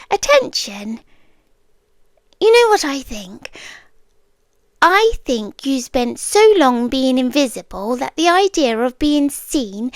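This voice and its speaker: Actress doing a british yandere girl for asmr, high soft voice, unhurried, polite but obsessive, drifts into whispers. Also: no echo, studio quality, full character voice. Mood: tender, intimate. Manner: soft-spoken, slipping often into whispers, coolly polite and teasing. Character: polite, obsessive yandere young woman intruder, softly menacing. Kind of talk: ASMR roleplay. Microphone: close microphone, dry.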